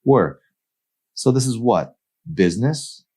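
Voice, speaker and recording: A man's voice: medium pitch, expressive, takes it slowly, dark tone, slightly rough, pitched above the performer's own voice. A man voicing a guarded, unhappy married woman in a novel reading, with a restrained but pointed delivery. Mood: stung.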